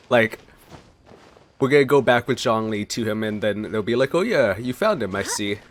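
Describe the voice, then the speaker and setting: A man's voice: mid-range voice, expressive, a little slow, pauses often, slight background noise. A man giving casual, conversational let's play commentary on a video game.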